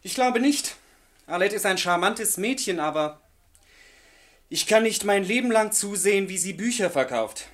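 A man's voice: high voice, expressive, normal speed, fairly bright tone. An actor playing a restless, irritable young male student in a German radio play, brusque and moody. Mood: irritable, evasive.

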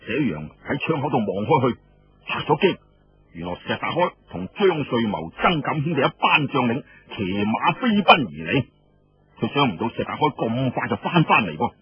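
A man's voice: medium pitch, sing-song, normal speed, soft background music. A man with a Cantonese accent narrating a story in a dramatic, colloquial style with conversational asides. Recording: dull lo-fi radio-style audio, faint noise.

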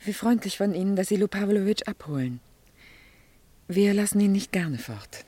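An actress playing a gentle, solicitous wife and mother with a husky, breathy voice, in a German radio drama. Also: mid-range voice, expressive, normal speed, no echo, natural voice. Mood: gracious, kindly.

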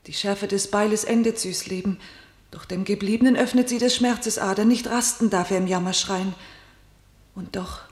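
An actress playing a fearful, cautious younger sister who pleads in measured classical verse, sometimes in a whisper. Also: female voice, on the high side, a little mellow, close to an everyday voice. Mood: mournful. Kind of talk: classical verse radio drama. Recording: roomy studio echo.

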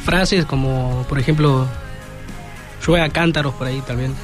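A teenage boy, chatting casually and haltingly as a student guest. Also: medium pitch, fairly even intonation, over music. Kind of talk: casual chat.

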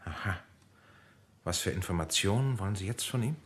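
Low-voiced clinical army doctor. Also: male voice, expressive. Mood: inquiring, detached. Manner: measured, explanatory, with hesitant pauses. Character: male army doctor, clinical, cautious, coolly technical interrogation specialist. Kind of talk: radio drama dialogue.

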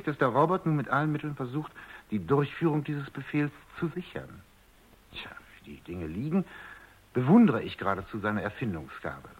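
A muffled radio drama recording of an urbane male scientist. His voice is husky and medium-pitched, and he speaks in long, polished phrases with an easy, patronizing joviality. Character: urbane, amused, self-assured male scientist, somewhat condescending. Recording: dull, band-limited radio recording.

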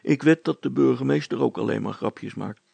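A man voicing a calm, joking young villager in a storybook, talking in a casual, teasing way. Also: medium pitch, a little fast, vocal fry, husky, dry recording, lo-fi sound, voice pushed up.

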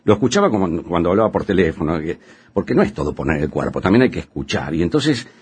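Man acting as blustering amateur thespian, Buenos Aires accent, low-pitched, husky, animated, rambling, comic radio play. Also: fast, exaggerated voice. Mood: flustered, eager. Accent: Buenos Aires Argentine. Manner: stammering, rambling, excitable. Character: pushy, blustering amateur actor, former cab driver. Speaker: actor in a radio comedy. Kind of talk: comic radio theatre dialogue.

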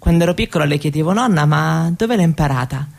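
A woman's voice: low voice, animated, fast talker, creaky, darkish tone, exaggerated voice. An actress playing a young Roma woman who shyly tells her grandmother's story in a hesitant, conversational theatre monologue. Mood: shy, friendly. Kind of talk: theatrical monologue told as spoken testimony.

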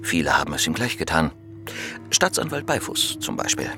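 Radio drama official: deep, sober, matter-of-fact, measured delivery over music. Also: male voice, very animated, gravelly, heavily acted. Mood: grave. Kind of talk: German radio crime drama acting.

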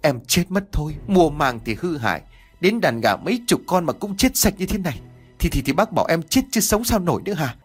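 A man acts out a distraught village woman from a spoken folk tale. The voice is lifted high and swings in a sobbing lament. Music plays faintly behind it. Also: very animated, brisk. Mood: despairing. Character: distraught, wailing village woman who lost her hens.

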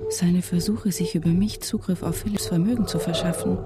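Woman acting defiant wife, slightly low voice, controlled, insistent, radio drama, music underneath. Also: steady tone, fairly quick, close to an everyday voice. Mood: hushed, suspicious. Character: tense, defiant wife of a missing businessman. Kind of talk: radio drama acting with inner monologue narration.

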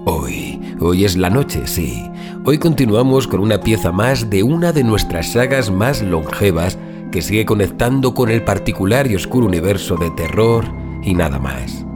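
A man's voice: fairly low pitch, sing-song. A man with a Spanish accent from Spain giving an ominous, theatrical scripted introduction as host of horror audio fiction. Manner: ominous, theatrical, deliberate emphasis. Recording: voice over loud background music.

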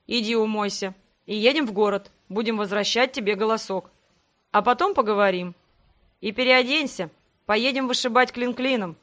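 A woman voicing a protective, brisk man in a fantasy audiobook, fondly teasing and giving orders. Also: on the high side, a bit bright, close to an everyday voice. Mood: fond, bossy.

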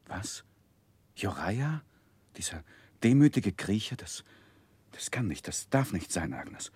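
A man acting an earnest, lovestruck young gentleman who recalls his youth in formal, gently self-mocking in a 1960s radio drama. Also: lowish voice, very animated, slow pace, slightly rough, no room sound, heavily acted. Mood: alarmed, urgent. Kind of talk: literary first-person narration, 1960s German radio drama.

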